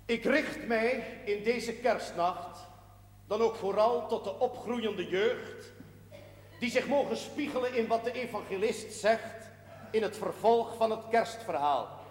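High-voiced preaching priest, echoing church. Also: male voice, takes it slowly, a little hiss or hum. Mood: solemn, admonishing. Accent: Dutch. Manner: solemn, declamatory, pompous. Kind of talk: sermon. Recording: echoing church acoustic, long reverb.

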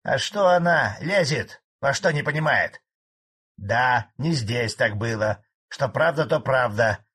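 A man voicing a spiteful old male collective farmer who rants gruffly and defiantly. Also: mid-range voice, animated, slow pace, a bit bright, pitched above the performer's own voice, full character voice. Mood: angry.

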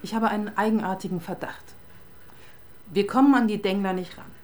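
A woman's voice: medium pitch, brisk, darkish tone, slight background noise, natural voice. An actress playing a sardonic, persistent police detective in a radio crime drama, dry and pointed in her questioning. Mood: wry, brooding.

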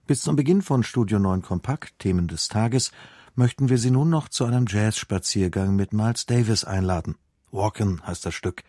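A man reading scripted radio announcements and programme summaries in a measured, formal way. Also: on the low side, a little mellow, no echo, clean studio sound. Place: dry broadcast studio.

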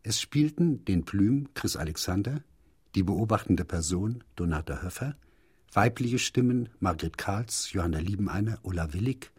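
A male radio announcer reads scripted credits. His voice is on the low side and husky. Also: animated, moderate pace, darkish tone.

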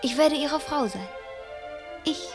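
A woman's voice: high-pitched, animated, takes it slowly, music in the background. An actress playing a very young girl in love, adoring and defiant, speaking fervently and insistently in a German radio drama. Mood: passionate, defiant.

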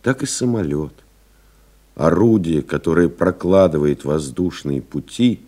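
An actor playing a reflective male pilot in an audio play, delivering a measured, contemplative literary monologue. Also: rumbling low, warm tone, full character voice. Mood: solemn, reflective. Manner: measured, contemplative, deliberate phrasing. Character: reflective male French pilot recalling his flights. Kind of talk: dramatic literary monologue, scripted narration.

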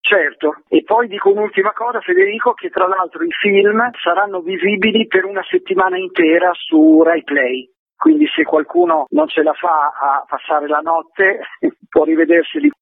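A man's voice: high-pitched, sing-song, moderate pace, gravelly, slightly rough, no echo. A man, a film director, explaining his work passionately over a phone line on a radio interview. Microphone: telephone.

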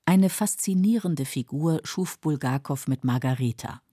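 Low, husky female narrator. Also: lively intonation, dark tone, no room sound, clean studio sound. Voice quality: husky, creaky. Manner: measured, explanatory. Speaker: narrator of literary radio feature. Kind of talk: scripted documentary narration.

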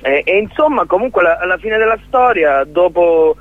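A man being interviewed as a guest about his theatre collective, talking in a halting way with many restarts and repeated words. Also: high-pitched, very animated, dry recording. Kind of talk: casual radio interview. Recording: tinny phone line.

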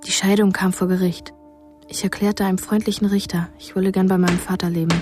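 A woman's voice: medium pitch, flat delivery, fast, a little mellow, faint music behind, natural voice. A woman narrating a son's sober childhood memories in a radio drama, restrained and matter-of-fact. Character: James Ellroy's childhood self, soberly recalling his parents.

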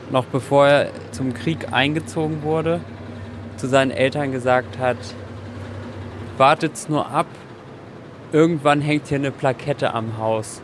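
A man's voice: mid-range voice, noisy background. A man talking casually and reflectively.

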